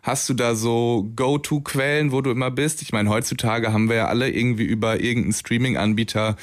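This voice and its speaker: A man hosts a campus radio show from the studio, easing questions to his guest. His talk is relaxed and conversational, with a few fillers, into a close studio microphone. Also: mid-range voice, a little fast. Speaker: radio host. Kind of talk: casual radio interview.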